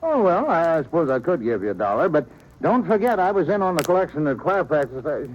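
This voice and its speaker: An actor playing a folksy, evasive small-town sheriff in an old radio western, blustering and hedging with nervous geniality. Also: male voice, on the high side, sing-song, a little fast. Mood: evasive, defensive. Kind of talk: 1950s radio western acting. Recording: dull, slightly noisy vintage radio recording.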